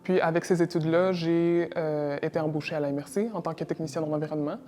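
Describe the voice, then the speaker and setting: A woman's voice: low-pitched, steady tone, fast, dark tone, slight background noise. A young woman from Russia, answering interview questions about her work in a hesitant way, with many fillers and repeated words. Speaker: interview guest, environmental technician.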